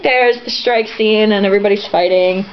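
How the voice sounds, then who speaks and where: A woman's voice: mid-range voice, steady tone, normal speed. A woman reading a novel aloud informally, breaking off for giggly, sarcastic, profane asides. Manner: irreverent, giggly, sarcastic commentary. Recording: muffled, lo-fi home recording with background noise.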